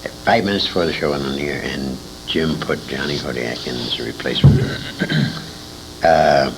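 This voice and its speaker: An older American man being interviewed, reminiscing in a rambling way as he searches his memory for names. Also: moderate pace. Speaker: interviewee, former radio actor. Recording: noisy amateur tape with low rumble.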